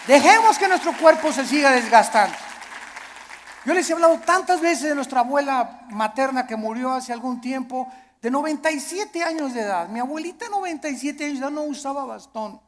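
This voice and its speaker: A man's voice: very high-pitched, lively intonation, a little fast, a faint crowd, slight background noise. A male preacher with a Mexican accent delivers a sermon in a large hall with long reverb trailing his words. He is emphatic, driving points home through repetition and rhetorical questions.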